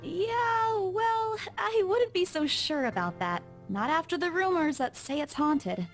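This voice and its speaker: An anxious young woman in a horror visual novel. Her British-accented voice is high and very animated, jittery and earnest, with music playing underneath. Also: unhurried, heavily acted. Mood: uneasy, cautious. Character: anxious, superstitious young woman real estate agent.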